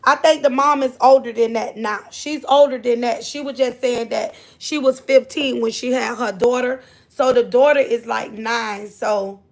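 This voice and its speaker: A high-pitched woman from Florida with a Southern drawl hosts a livestream. Her voice has a gravelly, fry-laden edge. She talks in a loose, chatty way, drifting from topic to topic. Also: steady tone, normal speed. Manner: chatty, drawling, scolds her kids off-mic. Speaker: livestream host. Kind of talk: casual live chat.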